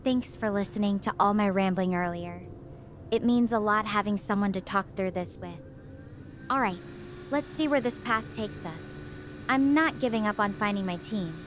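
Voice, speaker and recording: Woman doing a stranded researcher lady, earnest, thinks out loud, noisy recording. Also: medium pitch, normal speed, lightly acted. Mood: grateful. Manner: earnest, thoughtful, talking through her reasoning. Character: stranded young woman researcher, hopeful and determined. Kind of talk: conversational audio drama over a video call.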